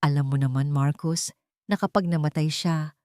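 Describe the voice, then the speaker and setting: A woman narrator lends her voice to a scheming young cousin in an audiobook tale. The cousin sounds cold and eager, pitched slightly higher than the narration. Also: normal speed, a little mellow, dry recording, studio quality, close to an everyday voice.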